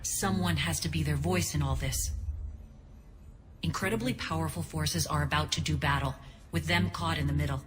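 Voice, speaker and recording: An actress playing a principled, wary alien ex-soldier who leads a resistance in a video game, earnest and measured. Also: female voice, deep voice, natural voice. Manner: earnest, measured, dryly pointed. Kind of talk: dramatic video game acting. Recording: long reverb, noisy background.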